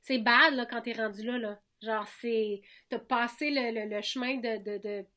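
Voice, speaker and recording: A woman's voice: on the high side, fairly even intonation, normal speed, pushed and bright. A woman in her thirties with a Québécois French accent, talking frankly as a podcast guest, often restarting and repeating words. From Quebec. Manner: frank, many restarts and repetitions. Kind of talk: candid conversational interview.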